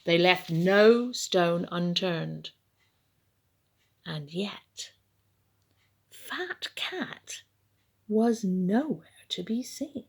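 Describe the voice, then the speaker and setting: A woman reads a children's bedtime story aloud in a dramatic, expressive and deliberate manner. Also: on the low side, husky. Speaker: storybook reader.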